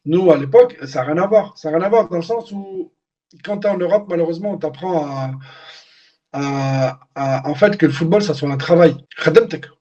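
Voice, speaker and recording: A man's voice: on the high side, normal speed, dark tone, dull audio. A man born and raised in France, a former footballer speaking candidly as an interview guest, often repeating words as he searches for them. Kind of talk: casual interview.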